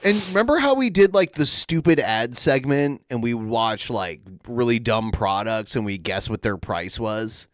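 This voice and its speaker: A man hosting a podcast, chatting casually and excitedly with frequent laughs. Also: medium pitch, normal speed, hardly any pauses, no echo. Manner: excitable, laughing, swears freely. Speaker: podcast host. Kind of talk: casual banter.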